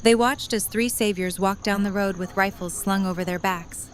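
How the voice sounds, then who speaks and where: A woman's voice: medium pitch, fairly even intonation, normal speed, a little hiss or hum. A woman narrator reading a scripted story for an audio drama.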